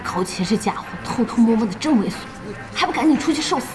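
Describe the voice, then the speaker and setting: An actress playing an excitable young female esports fan in an audio drama, gushing and quick to get indignant. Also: a little high, lightly acted. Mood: fired up. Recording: music and crowd behind voice.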